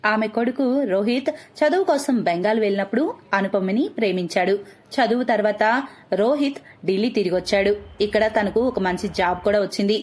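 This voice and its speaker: A woman's voice: medium pitch, a little fast, a little mellow. A woman reading scripted narration for a cartoon moral story.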